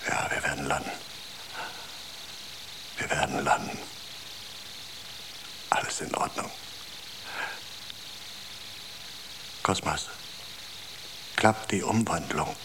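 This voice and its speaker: A man playing a tormented, exhausted space traveler in a radio drama, halting and murmuring, often close to a whisper. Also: extremely low pitch, expressive, noisy background, exaggerated voice. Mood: weary, yielding. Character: tormented, exhausted male space traveler doubting his sanity.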